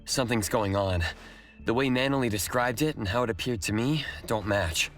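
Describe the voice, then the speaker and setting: An actor playing a composed young investigator leading his team in a video game, measured and matter-of-fact. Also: medium pitch, close to an everyday voice. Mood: thoughtful. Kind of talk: scripted video game voice acting. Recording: music behind the voice.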